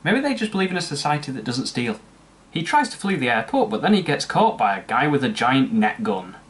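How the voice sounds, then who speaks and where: Lively sarcastic male film reviewer. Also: medium pitch, brisk. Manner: sarcastic, mocking asides. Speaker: movie reviewer. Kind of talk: comedic scripted film-review narration.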